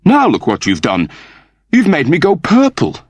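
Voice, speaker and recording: A man's voice: on the high side, wildly swooping pitch, moderate pace, no echo, studio quality, voice pushed up. A man voicing a fussy, earnest mechanoid turned human in an audiobook, with a plaintive, pedantic manner. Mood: indignant, whining.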